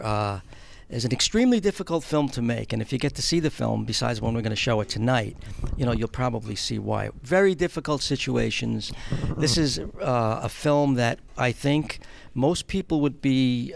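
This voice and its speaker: A middle-aged man with a New York accent speaks as a guest in a relaxed interview. His voice is gravelly and sits at an average pitch, and he talks plainly, feeling his way through his thoughts. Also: moderate pace, few pauses. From Long Island, New York, United States. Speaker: guest, film producer and charity house director. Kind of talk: conversational interview.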